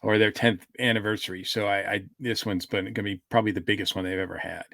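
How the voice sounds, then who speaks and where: Male co-host, lowish voice with plenty of fry, dry sound, halting casual chat. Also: steady tone, fairly bright tone, no room sound. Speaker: podcast co-host. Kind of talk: casual fan podcast chat.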